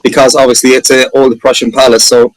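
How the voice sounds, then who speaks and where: A man's voice: medium pitch, little pitch movement, quick pace, no echo, poor audio. A man with an Irish accent, presenting his sailing resort in a chatty, enthusiastic way and often correcting himself. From Ireland. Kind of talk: casual presentation on a video call.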